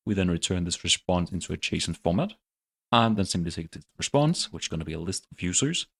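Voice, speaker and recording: A man hosting a programming channel, walking through his project in a relaxed, unscripted tutorial and thinking aloud with many fillers. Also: low voice. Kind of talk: casual screen-recorded coding tutorial.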